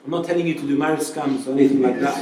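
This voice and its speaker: A man's voice: medium pitch, steady tone, racing, crowd noise. A man preacher giving an informal Islamic talk to a live audience, chatty and full of rhetorical questions. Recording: very echoey hall, voice from far off.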